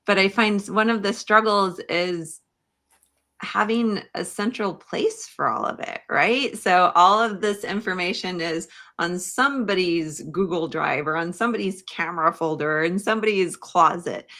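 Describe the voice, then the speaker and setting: A woman's voice: lowish voice, moderate pace, sharp tone. A woman hosting a casual interview, reflective and appreciative, thinking aloud as she talks. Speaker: podcast host.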